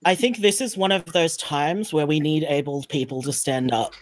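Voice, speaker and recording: A woman with a fairly low voice talks on a podcast panel. She is frank and informal, speaking off the cuff in a conversational style. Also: moderate pace. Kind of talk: casual panel discussion.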